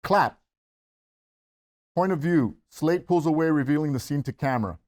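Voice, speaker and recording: A man's voice: fairly high pitch, animated, normal speed, a little mellow. A man reading out stage directions as scripted narration at a screenplay table read.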